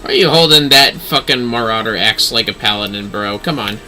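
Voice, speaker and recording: A man's voice: a little fast, pushed and bright, faint music behind. A man giving casual, offhand livestream commentary on a game he is playing, muttering as he goes. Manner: low-key, offhand muttering. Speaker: game streamer.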